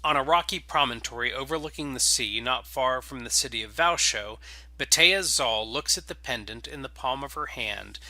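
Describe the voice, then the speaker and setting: Forceful, bright-voiced man narrating. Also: medium pitch, moderate pace, no room sound. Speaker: game writer and host introducing and reading stories. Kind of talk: casual podcast intro, then dramatic scripted narration.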